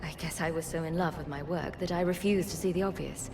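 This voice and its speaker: Earnest scientist, lowish voice, noisy setting. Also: female voice, steady tone, fairly quick. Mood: reflective. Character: principled female scientist leading refugees, earnest, weary. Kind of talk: video game cutscene acting.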